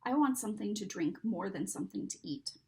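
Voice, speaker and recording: A woman's voice: mid-range voice, expressive, a little fast, vocal fry. A woman voicing an earnest, wide-eyed young schoolgirl in a storybook, given to exclaiming. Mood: plain.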